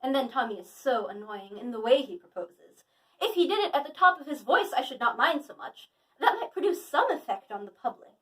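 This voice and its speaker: Woman playing flippant young society lady, high voice, arch mock indignation, Victorian stage comedy, distant microphone. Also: very animated, brisk. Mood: exasperated. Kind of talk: theatrical Victorian comedy monologue.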